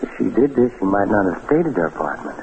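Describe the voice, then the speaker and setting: A low-voiced, fretful detective's sidekick. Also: male voice, big pitch swings, fast, a little mellow. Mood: doubtful. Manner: hesitant, worrying, mildly complaining. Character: uneasy, fretful, loyal male assistant to a sleuth. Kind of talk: old-time radio detective drama acting. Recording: muffled, noisy old radio recording.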